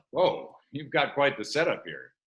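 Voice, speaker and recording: A blunt, dismissive boss god played by a man in a comedy script. His voice is sharp and extremely animated, with punchy, brash delivery. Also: medium pitch, a little slow. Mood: surprised, curious. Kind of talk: comedy script table read.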